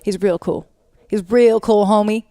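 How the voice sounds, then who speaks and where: Young woman, American accent, loud voice, animated storyteller, casual swearing, dry close podcast sound. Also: mid-range voice, measured pace, no echo. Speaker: podcast co-host. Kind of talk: casual unscripted podcast chat.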